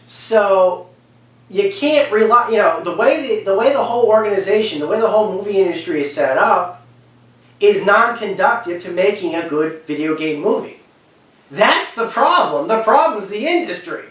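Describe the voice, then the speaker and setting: A man's voice: high voice, lively intonation, normal speed, a bit bright. Echoey, distant man talking emphatically. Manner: emphatic, repeats phrases for stress. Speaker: video commentator. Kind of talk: unscripted opinion talk about film and games. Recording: very echoey room, distant mic.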